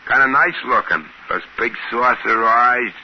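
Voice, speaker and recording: A man's voice: medium pitch, unhurried, lightly acted. An actor playing a folksy, easygoing trading-post man in a 1950s radio drama, speaking colloquially and ungrammatically. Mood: amused, relaxed. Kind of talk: 1950s radio drama acting, first-person narration and dialogue. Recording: dull, hissy 1950s radio recording.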